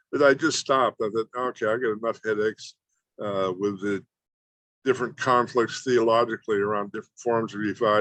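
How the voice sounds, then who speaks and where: A man's voice: mid-range voice, fairly bright tone, no echo, poor audio. A man hosting an online class, casually introducing his guest and often correcting himself. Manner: rambling, self-correcting, repeats words. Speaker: host. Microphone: video call.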